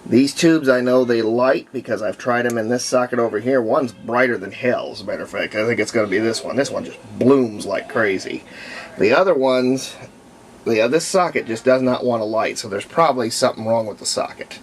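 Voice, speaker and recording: A man narrating casual hands-on repair work, thinking aloud in short, halting phrases. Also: medium pitch, a little hiss or hum. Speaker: hobbyist demonstrating antique radio repair. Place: room at home, indoors.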